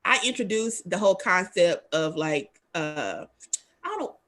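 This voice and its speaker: A woman's voice: fairly low pitch, lively intonation, unhurried, fairly bright tone. A woman hosting a radio talk show, chatting candidly and rambling with fillers. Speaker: host. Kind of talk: casual talk show chat.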